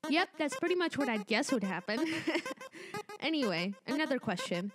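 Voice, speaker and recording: A woman's voice: sits high, big pitch swings, normal speed, a crowd in the background, poor audio. A woman reading fan questions aloud in a playful way. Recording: music behind the voice.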